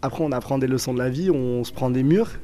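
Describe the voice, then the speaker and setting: A man's voice: mid-range voice, fast talker, warm tone. Hesitant young man with a southern French accent. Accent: southern French, Toulouse area. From southern France. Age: 20s. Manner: hesitant, many fillers and restarts. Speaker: guest. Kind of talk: casual interview conversation.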